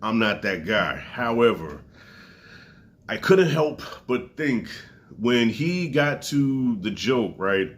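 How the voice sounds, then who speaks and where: A man in his forties with a stuffy, congested nose, casually reviewing a comedy special to camera, loose and profane with big swings in pitch. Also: big pitch swings, takes it slowly.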